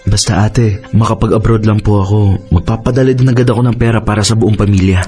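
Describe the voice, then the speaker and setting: A man's voice: lowish voice, fairly even intonation, quick pace, dark tone, close to an everyday voice. A man with a Filipino accent playing a deferential, troubled younger brother in a radio drama, hesitant and pleading. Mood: secretive, pleading. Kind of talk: Tagalog radio drama acting. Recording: music bed under voice.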